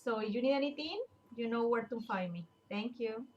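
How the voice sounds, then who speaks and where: A woman from Colombia with a strong Colombian accent, giving a friendly, direct networking pitch for a credit union over a video call. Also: a little fast, dull audio, slight background noise.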